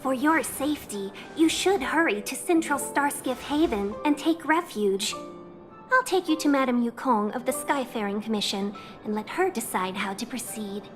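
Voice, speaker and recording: High-pitched young female game envoy played by a woman: silver-tongued, polite, formal, over music. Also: animated, moderate pace, natural voice. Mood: gracious, concerned. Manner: formal, polite, flowery speech. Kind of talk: video game voice acting.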